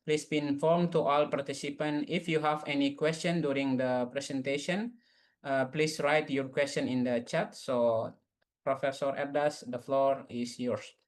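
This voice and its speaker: A man's voice: mid-range voice, steady tone, normal speed. A young man introducing a guest speaker as moderator of an online seminar, speaking carefully with frequent fillers. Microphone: video call.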